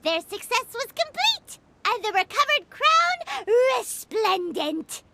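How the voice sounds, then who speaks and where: Casting: little cheerful fairy girl for a game, extremely high childlike voice, animated swoops, music behind. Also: slow, a little hiss or hum, exaggerated voice. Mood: dramatic storytelling. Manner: childlike, exclamatory, theatrical storytelling. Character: Paimon, small floating fairy girl, excitable and cheerful. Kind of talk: English game voice acting.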